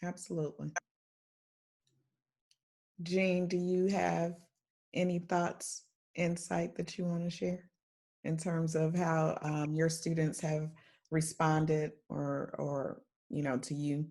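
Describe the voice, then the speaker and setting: Soft-spoken woman hosting an interview. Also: low-pitched, level tone, slow. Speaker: podcast host, interviewer.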